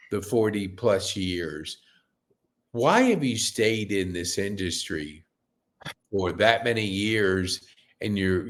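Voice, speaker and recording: Slow, low-voiced male podcast host. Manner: halting, with many restarts and fillers. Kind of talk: interview hosting.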